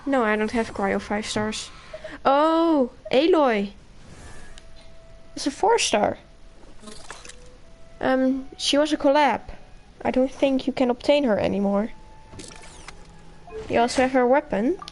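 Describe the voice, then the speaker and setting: Slow-paced, higher-voiced woman streaming a game. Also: a little mellow, a little hiss or hum, low-quality recording. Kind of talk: casual live gameplay commentary.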